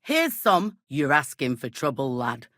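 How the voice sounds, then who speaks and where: An actress playing a brusque, gossipy, world-weary medieval village innkeeper in a video game, talking in blunt, earthy, scolding phrases. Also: female voice, a bass voice, sing-song, measured pace, fairly bright tone, no room sound, studio quality, heavily acted. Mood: exasperated. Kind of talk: video game character dialogue.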